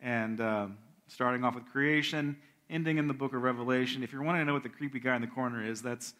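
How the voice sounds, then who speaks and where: A man's voice: mid-range voice, quick pace, fairly bright tone. A middle-aged man, a pastor, giving announcements, a prayer and a sermon in a conversational, self-correcting way.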